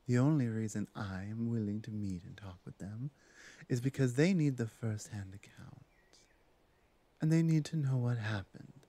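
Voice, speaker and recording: A man playing a bold, protective female orc huntress in an ASMR roleplay drama, talking in a firm, deliberate way. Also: medium pitch, dark tone, dry recording. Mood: firm, defiant.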